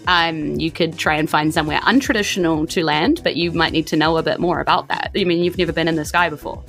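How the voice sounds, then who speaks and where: A woman's voice: low voice, steady tone, fast talker, gravelly, a bit bright, soft background music. A woman with a New Zealand accent running a tabletop game, improvising narration in a loose, conversational way. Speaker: game master.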